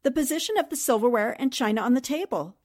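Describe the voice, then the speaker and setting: An actress playing a sharp, observant, inquisitive newspaper reporter in a 1940s-style radio drama. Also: female voice, high voice, expressive, fast talker. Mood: observant, probing. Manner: probing, precise, questioning.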